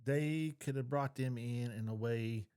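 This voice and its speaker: A man's voice: mid-range voice, a little slow, warm tone, clean tone. Blunt middle-aged man. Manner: blunt, repeats his point. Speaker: podcast co-host. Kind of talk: casual opinionated discussion.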